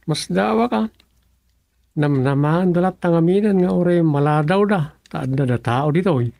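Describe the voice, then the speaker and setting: A man's voice: on the high side, moderate pace, gravelly, darkish tone, no echo, studio quality. A man with an Ilocano accent playing a complaining village staffer in a radio comedy drama.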